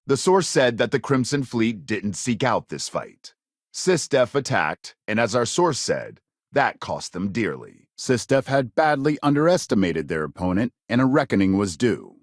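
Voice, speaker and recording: A polished male news anchor from a space-faring game world. His pitch swings widely as he reads grave bulletins with measured, dramatic emphasis. The sound is dry, with no room around it. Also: no echo. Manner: measured, emphatic newsreader delivery. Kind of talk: scripted news broadcast narration.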